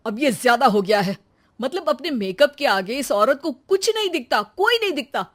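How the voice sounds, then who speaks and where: A woman's voice: high voice, big pitch swings, normal speed, a bit bright, exaggerated voice. A woman voicing a teasing, fed-up adult man in a family story, with a sarcastic manner.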